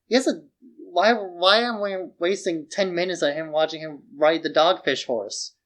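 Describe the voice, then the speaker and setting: A man's voice: fairly high pitch, moderate pace, fairly bright tone, rough recording. Casual, informal man co-hosting a podcast. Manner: informal, frequent "like" while arguing points. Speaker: podcast co-host. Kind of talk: casual opinionated chat.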